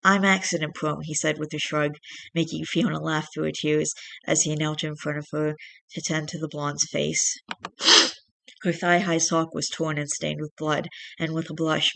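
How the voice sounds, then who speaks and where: A young man with a raspy voice and a dry throat, reading a story aloud and then chatting casually with viewers in a rambling way. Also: fairly high pitch, completely flat delivery, moderate pace, fairly bright tone, no room sound. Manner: rambling, self-correcting chat. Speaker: channel host reading fiction.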